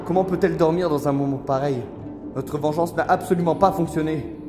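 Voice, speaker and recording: A man plays a bitter, anguished young man facing death in an audio drama. His voice sits fairly high and is agitated. It echoes through a hall under prominent music. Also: a little fast, close to an everyday voice. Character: anguished, bitter young condemned brother afraid to die. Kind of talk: French audio drama acting.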